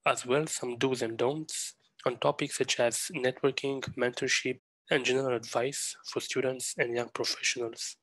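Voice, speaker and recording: A man hosts his podcast, reading a tidy introduction before turning to his guest. His voice sits at a middle pitch. The recording is dry and close, with no room sound. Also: flat delivery, normal speed, a bit bright, dry recording, rough recording. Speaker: podcast host. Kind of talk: scripted intro, then interview questions.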